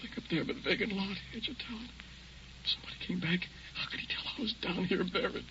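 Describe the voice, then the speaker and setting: A man's voice: sits high, quick pace, lightly acted. An actor playing a terrified, anguished man trapped in a coffin in an old-time radio drama. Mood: fearful muttering. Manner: anguished, muttering to himself then crying out. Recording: dull, noisy old radio recording.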